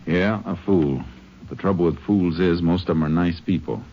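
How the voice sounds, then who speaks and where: Deep-voiced, terse Texas Ranger. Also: male voice, normal speed. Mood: thoughtful, terse. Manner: clipped, matter-of-fact, terse. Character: calm, methodical male Texas Ranger investigator. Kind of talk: 1950s radio western drama acting and narration. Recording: dull old radio recording with clear hiss.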